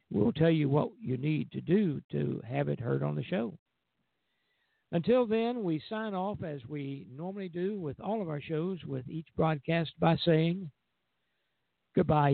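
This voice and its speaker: An older man in his seventies or beyond hosting an informal radio show, reminiscing in a halting way. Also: a little high, vocal fry, no echo. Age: 70s or older. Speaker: radio show host, retired airline pilot. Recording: tinny, narrow phone-line sound.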